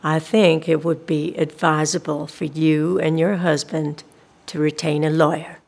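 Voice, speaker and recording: Prim, husky, officious female investigator. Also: sits low, flat delivery, a little mellow, full character voice. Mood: coolly advising. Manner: formal, measured, officious, hedging. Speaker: actor in radio play. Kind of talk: naturalistic audio drama acting.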